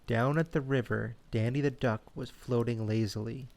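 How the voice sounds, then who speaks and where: A man telling a scripted children's bedtime story in a gentle, cozy, expressive way. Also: medium pitch, normal speed, vocal fry. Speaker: storyteller and host.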